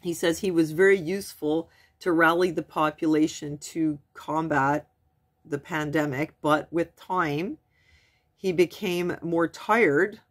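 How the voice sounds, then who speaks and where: A woman's voice: sits low, takes it slowly. A woman in her forties with a stuffy nose from a cold, chatting casually into her webcam at home as she reads and translates news articles. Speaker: vlogger. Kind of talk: casual commentary reading news aloud. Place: small room at home, indoors. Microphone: webcam at desk.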